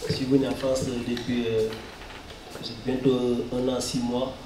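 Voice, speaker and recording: A man from Mauritania with a Mauritanian accent, giving personal testimony in a halting way, often repeating words and phrases. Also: mid-range voice, little pitch movement. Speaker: guest speaker, refugee giving testimony. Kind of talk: personal testimony at a press conference. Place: indoor press conference room. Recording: roomy echo, distant mic, faint noise.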